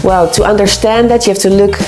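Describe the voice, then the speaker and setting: A woman, an expert explaining communication styles in a measured interview. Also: medium pitch, steady tone. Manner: measured, explanatory, some hesitations. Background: soft music.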